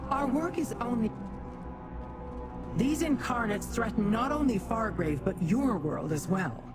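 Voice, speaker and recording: A woman voicing a proud female demon warrior in a fantasy game, with a formal, imperious, deliberate manner. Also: a little high, animated, over music. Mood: grave. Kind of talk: fantasy game quest dialogue. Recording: clear background noise, game ambience.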